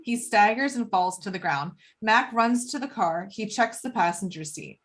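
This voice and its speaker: A woman's voice: mid-range voice, animated, normal speed, fairly bright tone. A woman reading screenplay action lines as the narrator of a table read.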